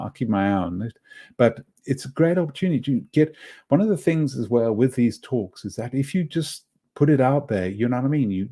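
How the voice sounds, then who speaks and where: A man's voice: medium pitch, mellow, slightly rough. A man with a slight South African accent, presenting a live online course, encouraging and praising each participant's comment while he rambles and restarts his sentences. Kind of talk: live webinar teaching.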